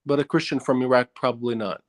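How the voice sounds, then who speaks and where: A man's voice: medium pitch, steady tone, fast talker. A man calling in to a show, asking tentative follow-up questions in a polite, conversational way. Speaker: caller. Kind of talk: tentative questioning over a call.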